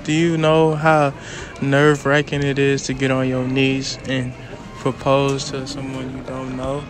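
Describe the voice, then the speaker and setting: A man's voice: medium pitch, completely flat delivery, a little slow. A young man interviewing women in a clothing store, using casual slang and pushy banter. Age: young adult. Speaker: street interviewer. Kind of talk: playful prank interview. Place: large indoor clothing store. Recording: loud music behind.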